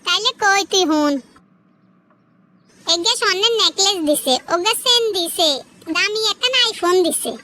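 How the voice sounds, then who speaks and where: A woman's voice: very high-pitched, measured pace, pauses often, sharp tone, slight background noise, exaggerated voice. A woman voicing a boastful, sly cartoon sister-in-law with a Noakhali Bangladeshi accent, gossiping and goading. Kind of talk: comedy cartoon dialogue.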